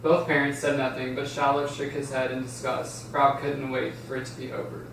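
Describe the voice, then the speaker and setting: A man's voice: medium pitch, monotone, moderate pace, a bit bright. A man narrating stage directions at a script table read, recorded in an echoey room from a distance. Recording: echoey room, distant mic, faint noise.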